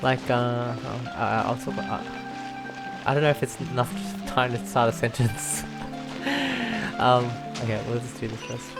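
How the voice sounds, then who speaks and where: Low-voiced woman streamer, giggly and informal. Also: level tone, unhurried. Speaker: gameplay streamer. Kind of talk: casual gameplay commentary. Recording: faint game music behind.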